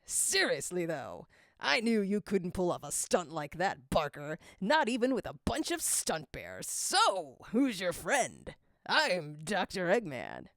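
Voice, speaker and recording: A woman's voice: lowish voice, huge pitch swings, slow pace, a bit bright, no echo, studio quality, full character voice. A woman voicing a boisterous, scheming male supervillain doctor with a taunting, theatrical manner. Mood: smug.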